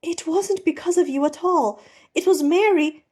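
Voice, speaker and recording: A woman voicing a desperate, apologetic gentleman pirate with an earnest, pleading manner in a storybook reading. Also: very high-pitched, normal speed, voice pushed up.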